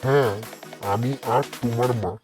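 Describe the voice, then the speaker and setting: A man voicing a gentle, good-natured adult villager in conversational cartoon dialogue. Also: medium pitch, moderate pace, music in the background, lightly acted. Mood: gentle, fond.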